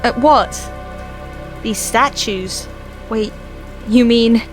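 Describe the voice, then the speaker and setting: Woman playing a high-voiced, plucky adventuring companion. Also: drawn-out words. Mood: horrified, concerned. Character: plucky, warm-hearted female companion of an adventurer. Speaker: companion in an audio drama. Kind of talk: audio drama acting. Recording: music and rain under the voice.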